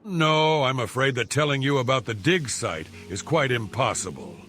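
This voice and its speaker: A man's voice: medium pitch, expressive, normal speed, a bit bright, slight background noise, close to an everyday voice. A man playing a pompous, irritable and shrewd archaeologist in a video game, speaking in a haughty, wordy, formal way. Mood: smug, scheming. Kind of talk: video game character dialogue.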